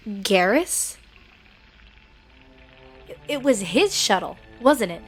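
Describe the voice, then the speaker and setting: A woman's voice: medium pitch, sing-song, slow, slightly rough, faint music behind, exaggerated voice. A woman playing a determined, headstrong young crew leader in a sci-fi audio drama, direct and insistent. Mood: demanding.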